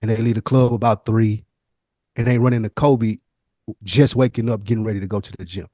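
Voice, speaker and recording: A man's voice: lowish voice, fairly even intonation, fairly quick, warm tone, no echo. Candid, reflective man on a dry-sounding podcast. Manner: candid, reflective, slangy with profanity. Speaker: podcast co-host. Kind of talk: casual reflective storytelling in podcast chat.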